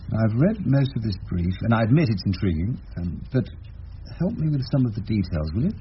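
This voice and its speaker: An actor playing a wry, thoughtful English criminal barrister in a radio drama, speaking drily and deliberately with musing hums. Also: male voice, fairly low pitch, big pitch swings. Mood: measured, probing. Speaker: actor in the lead role and narrator. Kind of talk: radio drama narration and dialogue. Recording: dull, noisy lo-fi radio drama recording.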